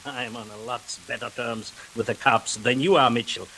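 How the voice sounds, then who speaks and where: An actor playing a surly, threatening junkyard fence in a 1940s radio drama, gruff, on a hissy old recording. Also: male voice, mid-range voice, steady tone, moderate pace, bright, forceful tone, low-quality recording. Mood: menacing. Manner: gruff, menacing.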